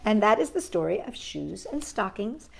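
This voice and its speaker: A woman's voice: medium pitch, big pitch swings, normal speed, vocal fry, a little hiss or hum. A woman hosting a storytime for young children, talking in a sing-song way as she chats and reads to her little audience. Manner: sing-song, addresses children and a puppet or pet companion. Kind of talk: warm-up chat and picture-book reading for young children.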